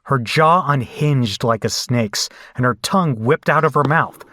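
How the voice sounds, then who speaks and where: A man playing a frightened, battered captive in a horror audio drama, telling the ordeal in the first person with deliberate, dramatic delivery. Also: medium pitch, sharp tone, dry recording, clean studio sound. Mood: grim, haunted recounting. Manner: deliberate, dramatic storytelling with hesitant, pained dialogue.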